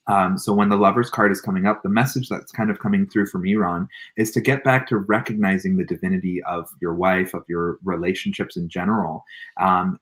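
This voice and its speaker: A man in his twenties, a guest on an online radio show, explaining a tarot card in a relaxed, lively way and laughing often. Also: lowish voice, poor audio. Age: 20s. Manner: animated, laughs often, warm spiritual explanations. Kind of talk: casual online radio chat, tarot reading.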